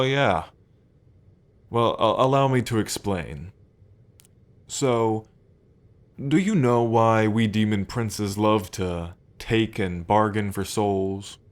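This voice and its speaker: A man playing a smug, teasing demon prince of pride in an ASMR roleplay, drawling and playfully taunting the listener. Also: lowish voice. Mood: teasing, casual.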